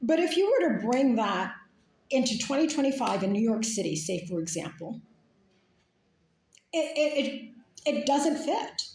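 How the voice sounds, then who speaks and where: A woman's voice: fairly high pitch, sing-song, unhurried, long pauses, a little mellow, roomy sound. A woman from Canada talking in a casual, rambling monologue, full of fillers and restarts. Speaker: speaker.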